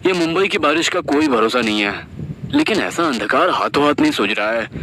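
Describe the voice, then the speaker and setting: A man's voice: a little high, big pitch swings, a little fast, sharp tone, a little hiss or hum, voice pushed up, poor audio. A man voicing an earnest, worried father in a horror story. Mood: eager. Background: background music.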